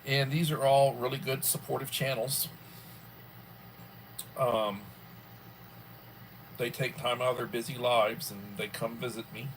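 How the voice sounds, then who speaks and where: A man in his early sixties hosting a casual livestream from home, rambling and filling pauses often. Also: medium pitch, steady tone, a little hiss or hum, low-quality recording. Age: 60s. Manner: rambling, with many fillers. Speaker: livestream host.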